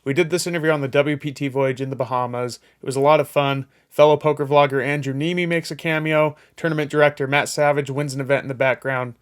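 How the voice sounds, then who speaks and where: A man's voice: medium pitch, fairly even intonation, fast talker, hardly any pauses, smooth, dry recording, studio quality. A man in his twenties hosting an interview, opening with a scripted intro and then asking questions in a friendly, conversational way. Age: 20s. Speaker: interview host. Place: on a cruise ship.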